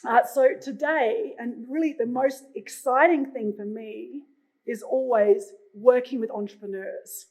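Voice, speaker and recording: Picture a middle-aged Australian woman speaking from a conference stage, her voice sitting high. She talks in a chatty way, with plenty of "uh" fillers between her phrases. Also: unhurried, darkish tone, rough recording. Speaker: moderator.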